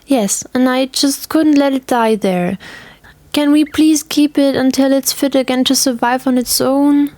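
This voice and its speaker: A woman's voice: high-pitched, unhurried, darkish tone, no room sound, voice pushed up. A woman voicing an anxious, eager young girl in an audio story, earnest and pleading. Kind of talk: audio story character acting.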